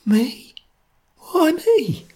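A man's voice: very high voice, very animated, measured pace, pitched above the performer's own voice, exaggerated voice. A man voicing a terrified, cowardly revolutionary in a story, stammering and pleading in a working-class London accent. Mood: faint dismay. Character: terrified cowardly male revolutionary, squeaking and whining.

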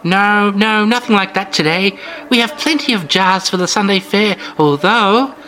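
A man doing a shrill, sugary old lady. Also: moderate pace, bright, forceful tone, poor audio. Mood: genteel. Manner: sugary, genteel, shrill falsetto. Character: sly elderly woman hostess, sweet turned menacing. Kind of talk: storytelling reading.

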